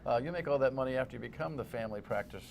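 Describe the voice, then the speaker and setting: A man with a creaky, gravelly voice hosts a talk show. He speaks off the cuff in slangy, emphatic bursts with a wry, informal touch. There is a faint noise in the background. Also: medium pitch, rapid-fire. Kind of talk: off-the-cuff TV commentary and interview.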